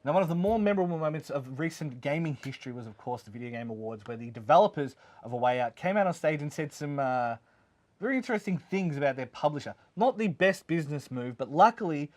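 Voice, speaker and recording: A man's voice: fairly high pitch, lively intonation, fast talker. A man hosting a video game review show in casual conversation, repeating words as he thinks aloud. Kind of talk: casual chat between co-hosts about video games.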